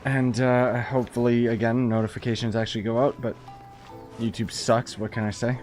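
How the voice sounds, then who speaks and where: A man chats casually on a game livestream at an ordinary pitch. He has a sardonic, grumbling way of talking, and music plays faintly behind him. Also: flat delivery, brisk.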